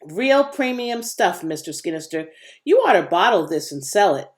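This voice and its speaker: A woman's voice: lowish voice, big pitch swings, moderate pace. Woman playing a folksy, cocky joking boy. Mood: enthusiastic.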